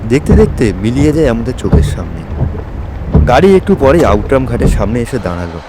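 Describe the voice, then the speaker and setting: A man playing a detective's friend who narrates a mystery in measured, atmospheric literary storytelling. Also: medium pitch, very animated, noisy background, lightly acted. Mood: measured, suspenseful. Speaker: narrator in an audio drama.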